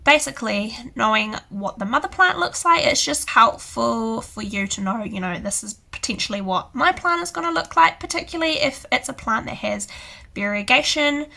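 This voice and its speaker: A young New woman talks through a casual tutorial in a hoarse, croaky voice that crackles with gravel. Her tone is bright and fairly high, and she rambles in a chatty way, with a lot of "so yeah" fillers. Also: expressive, normal speed. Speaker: houseplant channel host.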